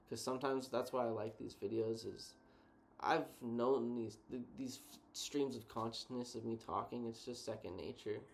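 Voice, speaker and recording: A laid-back man co-hosts a reflective chat, speaking in a lowish voice with a gravelly edge. He talks in a slangy, stream-of-consciousness way, with faint noise behind him. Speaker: co-host.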